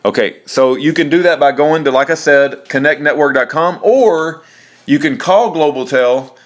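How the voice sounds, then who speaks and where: A man talking through an informal how-to, explaining step by step in a halting way and repeating himself. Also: fairly high pitch. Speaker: presenter of a how-to video. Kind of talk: informal spoken tutorial, step by step.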